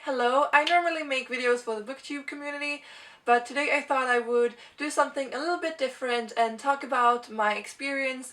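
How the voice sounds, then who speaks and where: A woman's voice: high voice, sharp tone, distant mic. A young woman from Sweden, a native Swedish speaker, chatting earnestly to camera and sharing advice in a casual vlog. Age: young adult. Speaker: vlogger.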